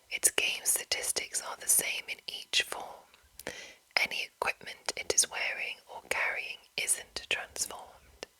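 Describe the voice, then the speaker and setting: A woman reading and commenting as an ASMR host in a breathy, unvoiced whisper, deliberate and pausing between short phrases. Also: bright, forceful tone, rough recording. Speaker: host reading a game rulebook.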